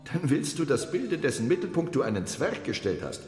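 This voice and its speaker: Imperious sultan in an echoing hall. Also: male voice, medium pitch, huge pitch swings. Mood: stern, questioning. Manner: measured, formal, commanding. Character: imperious, devout Ottoman sultan, weighing his words. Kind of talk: literary radio drama acting. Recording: echoey hall with long reverb, music behind.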